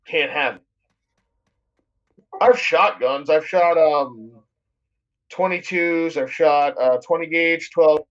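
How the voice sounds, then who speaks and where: A man's voice: on the high side, takes it slowly, pauses often, muffled recording. A man streaming, chatting casually in a rambling, heavily profane way. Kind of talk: casual chat on a live stream.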